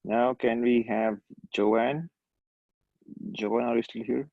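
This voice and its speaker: A man hosts a church meeting over a video call, calling on each speaker and answering with brief encouragement. His voice sits at a middle pitch. The audio is dry and muffled. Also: fairly even intonation, slow, vocal fry, no room sound. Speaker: meeting host.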